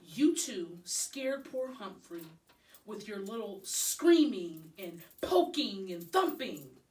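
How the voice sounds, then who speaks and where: Woman acting exasperated father, school principal, pleading yet firm, animated swings in pitch, children's storybook, slightly distant mic. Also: slow pace, reverberant. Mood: stern.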